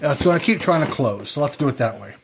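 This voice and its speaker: A man's voice: on the high side, expressive, quick pace, noisy background. A middle-aged man chatting casually as he unboxes old toy cars at a table at home, thinking aloud as he handles each one. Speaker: toy car collector unboxing.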